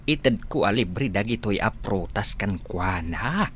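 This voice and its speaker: A man's voice: fairly low pitch, lively intonation, slow, fairly bright tone, slight background noise. An actor playing a humble, grateful village man with an Ilocano accent, hesitant and deferential, in a radio comedy drama. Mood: flustered, earnest.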